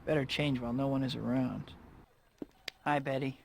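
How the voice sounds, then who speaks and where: An actor playing an earnest, harried young student hero in a video game cutscene, boyish and well-meaning. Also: male voice, mid-range voice, flat delivery, normal speed, vocal fry, a little hiss or hum, close to an everyday voice. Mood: puzzled. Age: young adult.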